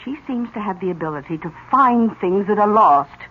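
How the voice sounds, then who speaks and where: An actress playing a genteel, effusive older aunt who earnestly believes in psychic gifts, in 1970s radio drama style. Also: female voice, medium pitch, lively intonation, normal speed, natural voice. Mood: earnest, enthralled. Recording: muffled old radio recording, faint hiss.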